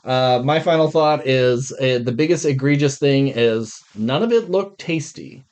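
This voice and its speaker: A man's voice: medium pitch, a little slow, a little mellow. An American man co-hosting a podcast, casually reviewing films with blunt, jokey complaints. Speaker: podcast co-host. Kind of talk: casual film review chat.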